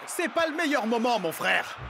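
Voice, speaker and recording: A man's voice: extremely high pitch, very animated, normal speed, vocal fry, over music, full character voice. A man playing an eager, curious young soccer player in a French cartoon dub.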